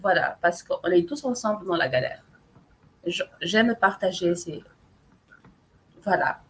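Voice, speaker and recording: A woman's voice: on the low side, animated, measured pace, a little hiss or hum, low-quality recording. Playful woman. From Portuguese-speaking country. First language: Portuguese. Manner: playful, teasing, self-mocking. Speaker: author guest. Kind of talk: casual live chat.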